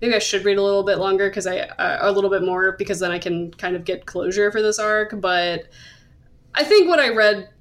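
Woman, podcast host, casual chat, tentative phrasing, middle-range pitch. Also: fairly quick, a bit bright. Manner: hesitant, self-qualifying. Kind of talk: casual discussion of manga.

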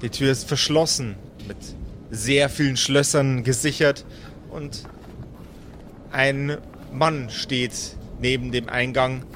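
Slow, deliberate male game master narrating. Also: medium pitch, steady tone, fairly bright tone, slight background noise. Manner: deliberate, pausing between phrases. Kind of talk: improvised narration of a tabletop audio play.

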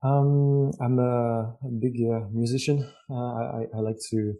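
Male interview guest, thirties, French accent, dark creaky voice, casual and dryly witty. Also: mid-range voice, level tone, a little slow. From Lyon, France. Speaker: podcast guest, tech entrepreneur.

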